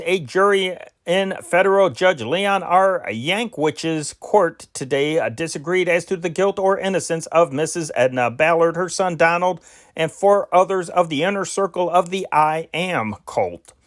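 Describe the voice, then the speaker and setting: Amateur male news reader, slightly higher voice, steady dispatch reading with the odd stumble. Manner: amateur, measured reading with occasional slips and self-corrections. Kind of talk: reading old newspaper dispatches aloud.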